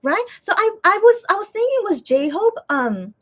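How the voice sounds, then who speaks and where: A woman's voice: extremely high pitch, big pitch swings, normal speed. A woman casually telling a story on a live stream, reading viewer comments aloud and rambling with many restarts. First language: English.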